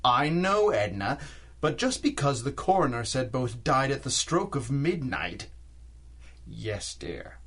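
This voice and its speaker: An actor playing a nervous, blustering middle-aged husband in a 1930s-style radio drama, with an exasperated, expressive manner. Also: male voice, mid-range voice, slow pace, full character voice. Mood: exasperated reassurance. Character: nervous, blustering husband dismissing talk of ghosts.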